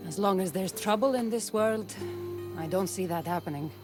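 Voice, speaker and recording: A woman's voice: medium pitch, normal speed, lightly acted. An actress playing a weary, sardonic mercenary woman in a video game cutscene, speaking casually. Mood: guarded, resigned. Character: wry, weary Greek mercenary woman in her prime. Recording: music behind the voice. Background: music.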